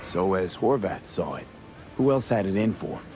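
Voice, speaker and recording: Low male voice, cool blunt investigator, clipped delivery, video game drama, music behind. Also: animated, moderate pace. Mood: probing. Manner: clipped, matter-of-fact.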